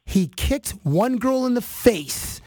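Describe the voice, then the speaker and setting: A Canadian man hosts a chatty interview and tells stories with animated energy and plenty of fillers. His voice sits high for a man. The sound is close and dry. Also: slow pace, dry recording. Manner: animated storytelling with many fillers. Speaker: podcast host. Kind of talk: casual interview chat.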